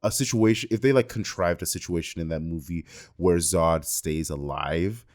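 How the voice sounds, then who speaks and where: A man with a very low-pitched voice discusses things as a podcast co-host. He is informal but analytical, building his points thoughtfully. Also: moderate pace, a little mellow.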